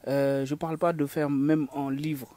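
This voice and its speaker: A man hosting a television interview, his voice mellow and warm in tone. He builds his questions slowly, pausing on "euh" and repeating words as he finds his way. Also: on the high side, flat delivery, no room sound. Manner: hesitant, with many "euh" fillers and repeated words. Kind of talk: studio interview.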